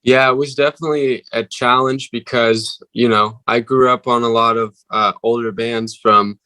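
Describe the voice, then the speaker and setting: A young male musician with a deep voice, answering interview questions haltingly with many fillers. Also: fairly even intonation, bright, forceful tone, clear, resonant tone, no echo. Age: young adult. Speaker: musician guest.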